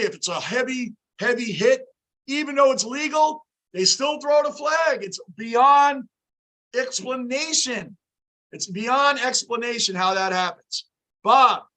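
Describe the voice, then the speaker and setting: A man in his fifties hosting a casual sports fan livestream, reading the chat aloud and talking loudly and emotionally with a tired, worn-out voice. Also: sits high, rough recording. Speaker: livestream host.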